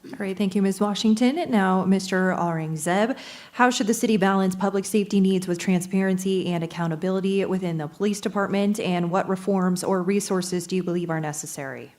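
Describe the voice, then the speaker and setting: A woman's voice: medium pitch, fairly quick, a little mellow. A woman moderating a candidate forum, reading out questions in a formal way.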